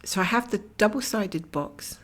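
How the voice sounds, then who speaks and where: A middle-aged woman from Scotland chatting casually while she cross-stitches at home, rambling from topic to topic and often dropping to a whisper, her voice croaky and her nose blocked. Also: medium pitch, normal speed. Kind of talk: casual stitch-along chat. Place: at home, camera over her stitching hoop.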